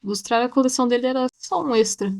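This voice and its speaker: A woman voicing a naive, defensive young male ship's cleaner in an animated short, pleading and aggrieved as he explains himself. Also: fairly high pitch, quick pace, lightly acted.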